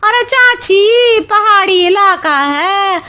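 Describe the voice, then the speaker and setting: A woman's voice: very high voice, lively intonation, very slow, bright, forceful tone, exaggerated voice. A woman voicing a scheming, busybody sister-in-law in a cartoon story, dramatic and wheedling, with rustic dialect words. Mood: wailing, dramatic. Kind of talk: animated moral story acting.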